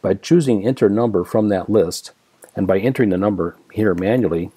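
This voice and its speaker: Male American tutorial presenter, dark soft-edged tone, dry close recording, explains each step methodically. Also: on the low side, brisk, husky, no room sound. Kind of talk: step-by-step screen demonstration narration.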